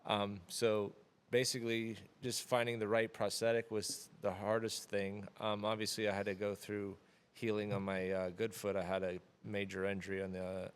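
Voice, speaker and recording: A man in his thirties from the Florida Keys, talking casually as an interview guest in a relaxed, rambling way with many fillers. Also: lowish voice, normal speed, few pauses, smooth. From Florida Keys, USA. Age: 30s. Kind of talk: casual conversation.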